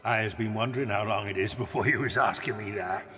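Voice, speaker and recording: An actor playing a gentle, timid old giant in an audiobook drama, speaking earnestly in muddled, homely grammar. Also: male voice, fairly low pitch, fast, in a large hall, soft background music. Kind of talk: full-cast audiobook dramatization.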